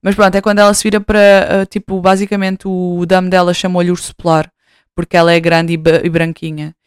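A woman's voice: on the low side, level tone, brisk, clean tone, dry recording, clean studio sound. A woman in her twenties with a European Portuguese accent, hosting a podcast in casual chat, rambling, swearing freely and mocking herself. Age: 20s. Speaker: podcast host.

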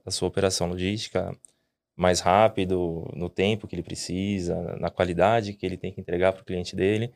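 A man with a lowish voice leads a relaxed business podcast. He talks at an easy pace and keeps checking in with his listeners through little tag questions. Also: gravelly. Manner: unhurried, frequent tag questions. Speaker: host, company partner. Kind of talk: casual podcast chat.

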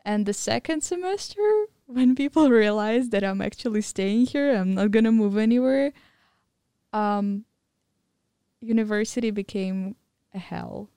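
A woman's voice: mid-range voice, measured pace, mellow, smooth, no room sound, studio quality. A young woman, a podcast guest of Mongolian and German background, candidly telling her story in casual chat with many fillers. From Mongolian-German, living in Thailand. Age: young adult.

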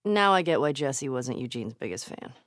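A woman's voice: extremely low pitch, big pitch swings, moderate pace, dry recording, studio quality. An actress playing a tough, sardonic young American woman survivor in a video game, naturalistic and clipped, often speaking under her breath. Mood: muttering, wary.

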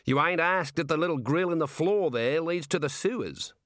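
A man's voice: medium pitch, big pitch swings, normal speed, a bit bright, no echo, pitched above the performer's own voice. Man acting male prison warder, cheerful, obliging, folksy slang, comic storybook, dry sound. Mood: chatty, encouraging. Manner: folksy colloquial Australian-style slang. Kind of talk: comic audiobook character voice.

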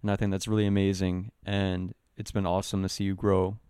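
A man's voice: deep voice, little pitch movement, brisk, dark tone, a little hiss or hum. A young man hosting a podcast, talking in an earnest, encouraging, casual way with a lot of "like". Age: young adult. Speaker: podcast host.

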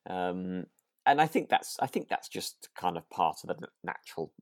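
A man co-hosting a podcast in relaxed chat, hesitant and correcting himself as he thinks aloud. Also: low-pitched, normal speed, no echo, clean studio sound. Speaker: podcast co-host. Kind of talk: relaxed chat reviewing a radio drama.

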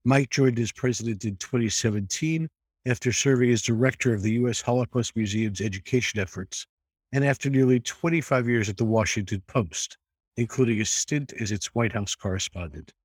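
A man hosting a podcast, delivering a polished scripted introduction and then asking a guest questions in a measured way. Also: mid-range voice, no echo. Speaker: podcast host.

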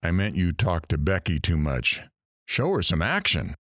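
A man plays a cocky radio shock jock in an audio drama. His low voice swings widely in pitch as he delivers crude, sarcastic banter like a showman. The sound is dry and close. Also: wildly swooping pitch, normal speed, a bit bright, no echo, full character voice. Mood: teasing. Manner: showy, sarcastic, innuendo-laden banter. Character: brash, crude, wisecracking male radio talk show host.

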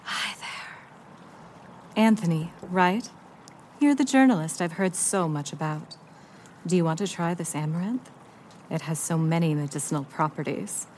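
A woman's voice: fairly low pitch, unhurried, pauses often, a little mellow, a little hiss or hum, lightly acted. A woman playing a soothing, eager restaurant server in an audio drama, reassuring and coaxing. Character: soothing, eager female server pushing strange health food.

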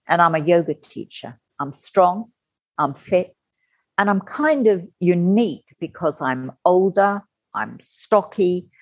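Low-voiced candid middle-aged woman. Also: dry recording. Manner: candid, animated, acts out quoted voices. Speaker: podcast guest. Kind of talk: personal storytelling interview. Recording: dull lo-fi dry sound.

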